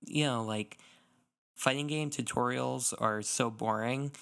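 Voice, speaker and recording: A man's voice: mid-range voice, unhurried, creaky, fairly bright tone, clear, resonant tone. A man co-hosting a casual gaming podcast, talking enthusiastically and giving emphatic opinions. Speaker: podcast co-host.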